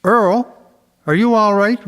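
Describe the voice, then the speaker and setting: Actor as young fisherman, radio drama, high pitch, plain-spoken, echoing space. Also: steady tone, slow, fairly bright tone, smooth. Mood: concerned. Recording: reverberant, distant-sounding.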